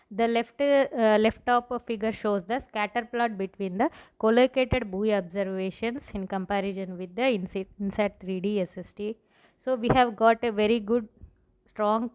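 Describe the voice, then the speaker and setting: A woman with an Indian accent giving an academic research presentation in a halting, explanatory way, often repeating herself. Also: medium pitch, a little mellow, clear, resonant tone, low-quality recording. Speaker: research scientist presenting results.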